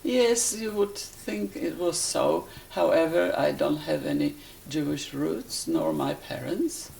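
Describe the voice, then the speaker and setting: An elderly woman from Poland with a Polish accent, recalling her life in a halting, deliberate way as she is interviewed. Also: deep voice, sing-song, creaky, darkish tone, a little hiss or hum, rough recording. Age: 80s or older. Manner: halting, deliberate, searching for words. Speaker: interviewee, life-story witness. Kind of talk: personal reminiscence in an interview.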